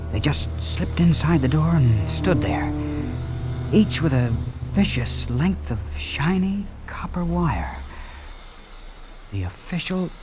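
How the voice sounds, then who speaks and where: An actor playing a young man caught in danger, in dramatic old radio style, sometimes narrating his ordeal in hushed suspense. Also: mid-range voice, natural voice. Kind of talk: 1940s radio drama acting, suspenseful first-person narration. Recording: noisy old radio broadcast.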